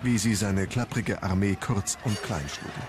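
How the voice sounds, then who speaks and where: Low-voiced male documentary narrator. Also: moderate pace, heavy background noise. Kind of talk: scripted narration, storytelling. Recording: music bed under the voice. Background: background music.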